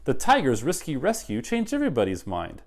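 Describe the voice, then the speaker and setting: A middle-aged man reading a picture book aloud to children in an expressive, dramatic way. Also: mid-range voice, a little fast. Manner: animated, dramatic. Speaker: read-aloud guest reader. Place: child's bedroom.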